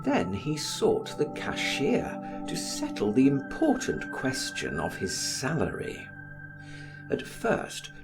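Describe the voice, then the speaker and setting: A man reading an audiobook in an expressive, theatrical and measured literary style. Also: mid-range voice. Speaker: audiobook reader. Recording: music behind the voice. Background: music.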